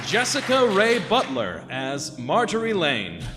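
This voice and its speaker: A man's voice: high voice, huge pitch swings, unhurried. A man hosting live radio theater, announcing the show and cast in a theatrical style. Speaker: host and announcer. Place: library venue with audience. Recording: live stage, loud music and crowd.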